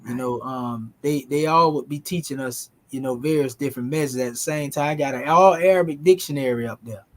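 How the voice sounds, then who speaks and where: A man, teaching a language class in a relaxed, folksy way. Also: mid-range voice, brisk. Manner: relaxed, folksy, dialect grammar.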